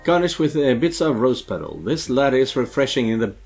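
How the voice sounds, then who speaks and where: A man's voice: mid-range voice, lively intonation, fairly quick, a little mellow, slightly rough. A man doing a casual let's play, reading game text aloud and muttering hesitant asides. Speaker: game streamer dubbing a character. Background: background music.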